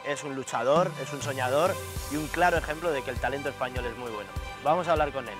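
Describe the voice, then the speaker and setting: A man's voice: mid-range voice, fast talker. A man in his thirties hosting an interview, reading a scripted introduction and then asking curious questions. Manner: warm-toned questions, frequent short backchannels. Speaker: interview host. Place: indoor office lounge. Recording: intro with clear background music.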